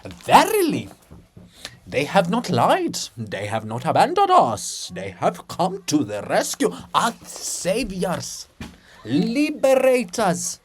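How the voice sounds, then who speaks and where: A man's voice: high voice, huge pitch swings, takes it slowly, slight background noise, voice pushed up, full character voice. A man voicing a fanatical monument of a writer, ranting pompously and declaiming in a dramatic farce reading. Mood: zealous ranting.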